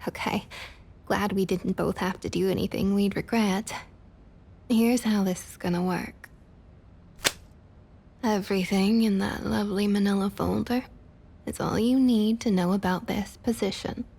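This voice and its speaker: A woman playing a smug, opportunistic vampire council member in an ASMR roleplay, with a soft breathy Southern US accent and coaxing, condescending sweet talk. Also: mid-range voice, steady tone, a little slow, long pauses. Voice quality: soft, breathy, slightly husky. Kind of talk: ASMR roleplay, intimate scripted monologue.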